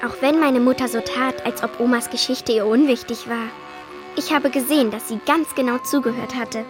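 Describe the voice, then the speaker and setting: A woman's voice: on the high side, fairly even intonation, normal speed, music in the background, natural voice. A female performer playing a young girl who narrates her family's story in a children's radio play. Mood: narrating. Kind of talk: scripted first-person narration for children.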